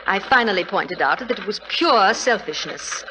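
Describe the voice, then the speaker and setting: A woman's voice: medium pitch, animated, moderate pace, close to an everyday voice. An actress playing a frank, wry, middle-aged titled lady engaged to be married, in 1940s radio drama style. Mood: wry, chatty. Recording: noisy, muffled 1940s radio recording.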